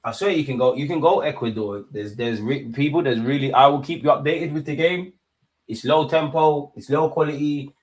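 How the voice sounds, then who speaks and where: A man's voice: mid-range voice, normal speed. Young London man, slangy football streamer. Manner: slangy, informal, excitable. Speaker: football watch-along livestream host. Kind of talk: casual live reaction commentary and chat with viewers.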